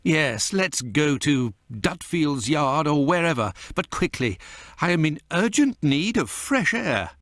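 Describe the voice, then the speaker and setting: A detective's fussy gentleman companion in a game. His voice sits fairly high and swings expressively, with clipped, proper diction. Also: very animated, unhurried, no echo, clean studio sound, heavily acted. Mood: queasy, impatient. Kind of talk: video game dialogue.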